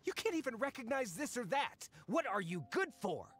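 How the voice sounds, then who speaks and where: An actor playing a greedy, self-important fake archaeologist in an anime game, pompous, hedging and excitable. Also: male voice, extremely high pitch, moderate pace, husky, full character voice. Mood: scornful. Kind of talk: anime video game voice acting.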